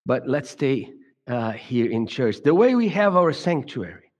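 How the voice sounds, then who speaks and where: A man's voice: medium pitch, very animated, measured pace, dull audio. A middle-aged man from Brazil with a Brazilian Portuguese accent, giving a reflective talk to a congregation and often stumbling over repeated words and restarts. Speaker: speaker at a church gathering. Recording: long hall reverb.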